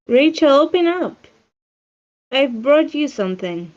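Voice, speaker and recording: A woman's voice: high-pitched, sing-song, slow pace, darkish tone, pitched above the performer's own voice, heavily acted. Woman reading a commanding witch mentor, muffled audio. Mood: pleading. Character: commanding young female witch mentor, brisk and unsentimental. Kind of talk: screenplay table read. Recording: muffled online meeting audio.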